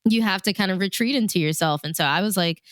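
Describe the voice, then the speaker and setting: An American woman telling stories in a casual, conversational way, informal and animated, as a radio DJ being interviewed. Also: medium pitch, quick pace, no room sound, clean studio sound.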